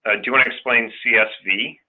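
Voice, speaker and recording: A man's voice: mid-range voice, fairly even intonation, fast talker. Man on a tinny phone line. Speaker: financial advisor co-hosting a client webinar. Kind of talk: conversational explaining to listeners. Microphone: telephone.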